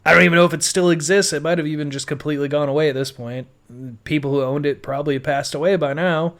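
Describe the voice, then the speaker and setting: A man's voice: on the high side, fairly even intonation, fast, a bit bright. An American man telling his life story casually as a podcast host, rambling and swearing freely. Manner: rambling, foul-mouthed.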